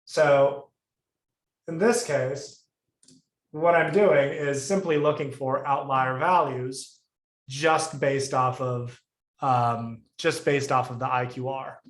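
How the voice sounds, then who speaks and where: A man's voice: mid-range voice, fairly even intonation, slow pace, roomy sound. A male instructor on a video call walking through a coding lesson, thinking aloud with restarts and fillers. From Puerto Rico. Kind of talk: live coding lesson review.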